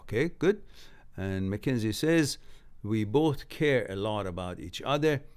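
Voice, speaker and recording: A man's voice: fairly low pitch, clean tone. A man whose first language is Farsi hosts a live stream, reading viewer comments aloud and giving blunt, breezy advice, laughing often between sentences.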